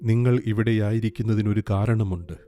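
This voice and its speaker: A man guiding a meditation in a calm, gentle, soothing way, leaving long pauses. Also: fairly low pitch. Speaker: meditation guide. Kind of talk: guided meditation, slow soothing instruction. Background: soft meditation music with singing bowl.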